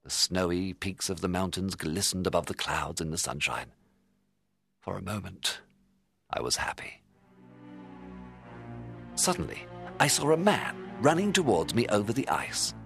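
A man playing a horrified, remorseful scientist telling his tale in a dramatised audiobook, with intense, expressive delivery. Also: deep voice, measured pace, gravelly, long pauses, breathy edge, faint music behind, full character voice. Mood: reflective, tense. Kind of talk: dramatised literary audiobook narration.

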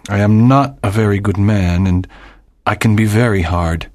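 A man's voice: lowish voice, moderate pace, a little mellow, dry recording, clean studio sound, lightly acted. An actor playing a stern, cold older doctor and controlling father in a dramatized audiobook, with a hard, commanding manner. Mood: cold anger.

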